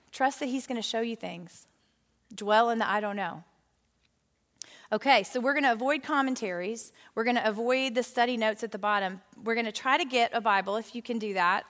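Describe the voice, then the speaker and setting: Woman, Bible study teacher, higher voice, chatty and animated, long hall reverb. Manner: animated, chatty, with frequent fillers. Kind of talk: lively teaching talk to a women's Bible study group.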